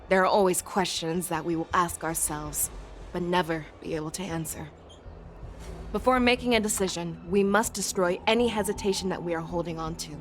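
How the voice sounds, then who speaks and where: A woman voicing a commanding female general who speaks in a measured, instructive way and rallies her troops. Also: lowish voice, lightly acted. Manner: measured, instructive, rousing when addressing troops. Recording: studio game dub over loud music.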